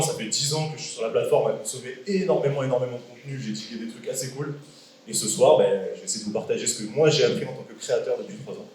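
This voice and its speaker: A man's voice: medium pitch, darkish tone, a little hiss or hum. A young man in his late twenties talking to a crowd in a big hall, casual and joking, tossing questions to the room. His voice sits mid-range and comes from well away from the mic. The room rings with heavy echo. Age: 20s. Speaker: guest speaker. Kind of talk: live talk to an audience. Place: large event hall with an audience. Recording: echoey hall from far off.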